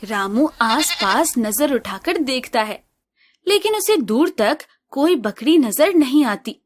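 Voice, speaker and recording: A woman narrating an animated village story in a slow, even storytelling manner. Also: high voice.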